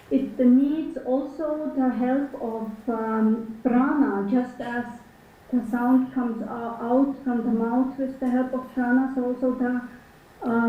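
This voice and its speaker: A woman student putting careful, halting questions to her teacher in a class. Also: on the high side. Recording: very echoey, muffled audio.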